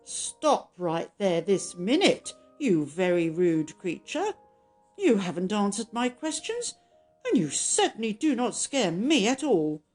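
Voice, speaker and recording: A woman voicing a kind but stern old granny in a children's storybook, firm and deliberate. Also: mid-range voice, sing-song, mellow, soft background music. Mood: stern, cross.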